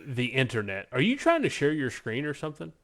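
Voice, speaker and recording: A man's voice: mid-range voice, huge pitch swings, brisk, a bit bright. A man co-hosting a podcast, chatting casually with an enthusiastic, emphatic delivery. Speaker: podcast co-host. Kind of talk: casual podcast chat about movies.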